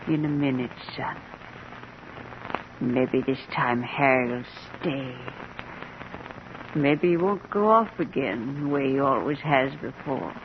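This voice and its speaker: An actress playing a frail, wistful old American backwoods mother in a vintage radio drama, plaintive and hushed. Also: very deep voice, slow, long pauses, exaggerated voice. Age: older. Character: frail elderly backwoods mother, wistful, stubbornly sure of premonitions. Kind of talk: 1950s radio drama acting. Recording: muffled, hissy vintage radio recording.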